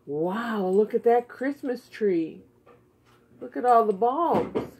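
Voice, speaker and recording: Woman puppeteer for a homemade show: higher, husky voice, simple encouraging questions, recorded in a living room on a phone. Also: expressive, slow pace, darkish tone. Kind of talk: casual encouraging chat with a puppet partner. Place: living room beside a Christmas tree at home. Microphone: phone camera a short way off.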